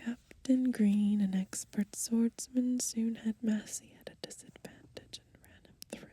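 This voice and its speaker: A woman speaks in a very breathy, mostly unvoiced whisper. Her delivery is slow and gentle, with pauses between short phrases. The tone is soft and mellow, in the hushed style of an ASMR host reading aloud. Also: a little high, fairly even intonation. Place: outdoors, lying in grass in the countryside.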